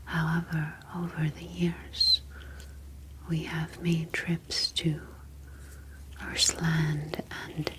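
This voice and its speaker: A woman playing a calm, formal humanoid alien scientist in an ASMR roleplay, speaking in soft, breathy whispers with measured, deliberate phrasing. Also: low voice, noisy background.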